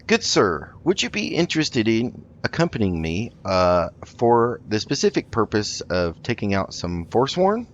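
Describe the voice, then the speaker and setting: Low-voiced, hesitant man roleplaying a game. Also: wildly swooping pitch, highs cut off, slight background noise. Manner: polite, hesitant, halting. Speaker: gaming host. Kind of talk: roleplay game commentary, improvised in character.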